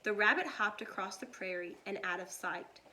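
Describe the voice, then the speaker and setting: A woman reading a children's story aloud like a teacher, with deliberate, expressive delivery. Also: mid-range voice, a little hiss or hum, poor audio.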